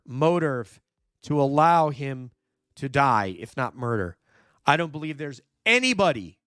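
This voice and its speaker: A hoarse man, nearly losing his voice, hosting a true crime livestream, talking emphatically and answering viewer chat. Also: mid-range voice, fairly bright tone, dry recording, clean studio sound. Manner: emphatic, repeats "no question", thinks aloud with fillers. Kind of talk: live commentary reading viewer chat.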